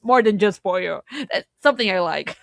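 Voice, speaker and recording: Woman podcaster, halting delivery, dry close sound. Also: mid-range voice, vocal fry, no echo. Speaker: podcast co-host. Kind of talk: casual podcast discussion.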